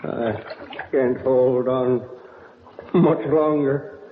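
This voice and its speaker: An actor playing a grumbling, loyal old cowboy sidekick in a Western radio drama, with a gravelly rustic voice. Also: male voice, medium pitch, steady tone, extremely slow. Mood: weary, despairing. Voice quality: gravelly, creaky. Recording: echoey, noisy, muffled old radio recording.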